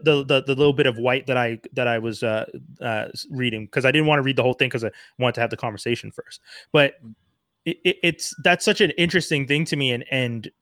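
A man hosting a casual interview who talks in a halting way, often restarting sentences and agreeing along. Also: mid-range voice, a bit bright, dry recording, clean studio sound. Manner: chatty, halting, quick to agree and laugh. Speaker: podcast host, interviewer.

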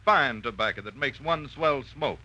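A man delivers a scripted testimonial in 1940s radio advertising style. His voice is mid-pitched with a very sharp, bright tone. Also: expressive, normal speed. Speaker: tobacco buyer giving ad testimonial.

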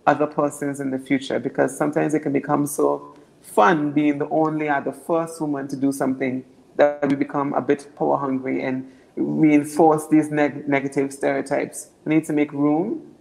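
A young woman from Anguilla with a naturally deep voice, answering interview questions earnestly and often repeating words as she builds her points. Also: little pitch movement, moderate pace, vocal fry, darkish tone, poor audio. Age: young adult. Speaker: political candidate.

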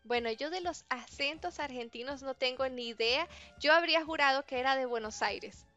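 A woman's voice: high-pitched, normal speed, pushed and bright, soft background music. A woman interviewer asking questions and back-channeling often with "ujú". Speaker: interviewer, language student. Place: lives in Brazil. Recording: slightly dull lo-fi sound.

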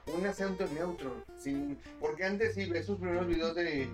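Male podcast host, slightly high voice, measured and explanatory, guitar music clearly audible. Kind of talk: casual podcast chat.

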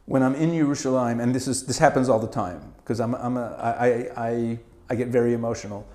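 Middle-aged canadian guy chatting in an interview, stumbles over his words and laughs a lot, keeps an ordinary pitch. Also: a little fast. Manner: animated, frequent restarts and stammered repeats, laughs easily. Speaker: interview guest. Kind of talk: casual conversational interview.

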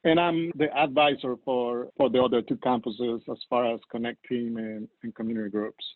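A man with a Colombian Spanish accent speaks unhurriedly, pausing to find his words in English. His voice comes through a thin, phone-like line with a dry, close sound. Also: medium pitch, fairly even intonation, no room sound. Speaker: church campus leader. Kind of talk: podcast guest interview.

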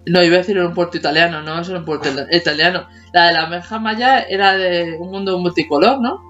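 A woman's voice: fairly low pitch, quick pace, a bit bright. A woman in her forties co-hosting a podcast, chatting casually, laughing often and humming bits of old tunes. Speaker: podcast co-host. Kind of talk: casual chat about childhood TV songs. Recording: dull lo-fi sound with faint music behind.

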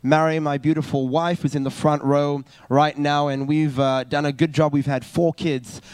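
A man in his thirties preaches from a church stage. His voice sits slightly high for a man and is captured close and dry, with no room sound. He speaks earnestly and often stops with an uh to restart a thought. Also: little pitch movement, moderate pace, darkish tone, clear, resonant tone, no room sound. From South Africa. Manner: earnest, many filler uh's and restarts. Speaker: youth pastor. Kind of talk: sermon. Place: church stage, live service.

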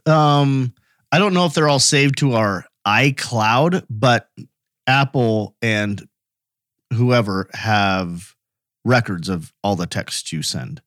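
A man's voice: mid-range voice, slow, fairly bright tone, no echo, studio quality. A man co-hosting a podcast, chatting informally, asking questions and thinking aloud in a tentative way. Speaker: podcast co-host. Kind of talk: casual chat, reacting and questioning.

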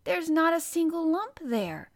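A woman's voice: medium pitch, expressive, normal speed, lightly acted. A fierce little girl from a storybook, acted out by a woman narrator. She is cross and commanding, scolding hard with a savage temper and bossing whoever is in front of her. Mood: cross, firm.

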